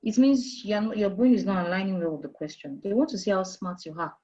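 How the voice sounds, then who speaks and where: A woman's voice: mid-range voice, fairly quick, mellow, dull audio. A woman with a Nigerian accent, an HR manager giving career advice in an interview, who talks emphatically, repeats her key points and asks rhetorical questions. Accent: Nigerian English. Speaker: HR manager guest.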